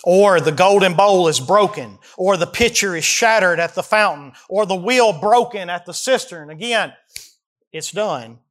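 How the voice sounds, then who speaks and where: A man in his early forties delivers a sermon in a notably high voice with a Southern American accent. He is folksy and emphatic, setting out scripture and then explaining it with questions to his listeners. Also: animated, a little slow, fairly bright tone. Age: early 40s. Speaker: preacher. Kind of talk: expository sermon, reading verses and explaining them.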